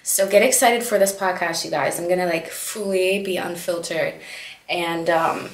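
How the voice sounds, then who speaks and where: A woman's voice: fairly low pitch, fairly even intonation, moderate pace. A young woman in her twenties whose first language is Dutch, chatting candidly in a casual diary vlog in her apartment, filmed by a camera a few feet away. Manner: chatty, candid, lots of "like" fillers. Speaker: vlogger. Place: apartment living room and kitchen. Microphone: camera on a tripod at a distance. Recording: roomy echo from camera distance.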